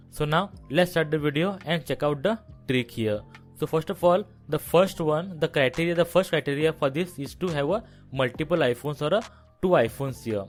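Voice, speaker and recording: A man hosting a tech tutorial, narrating instructions step by step and repeating key points. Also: a little high. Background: background music.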